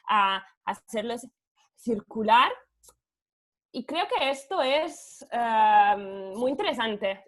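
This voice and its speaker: A woman whose first language is Italian, giving an academic panel talk in Spanish over a video call, hesitant and searching for words, with many fillers. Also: high voice. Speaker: guest speaker, author.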